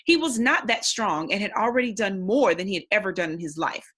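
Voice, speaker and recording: A woman's voice: medium pitch, animated, a little fast, fairly bright tone, slightly rough. A woman reading a storybook aloud expressively, in the instructive, simple manner of a teacher with young listeners.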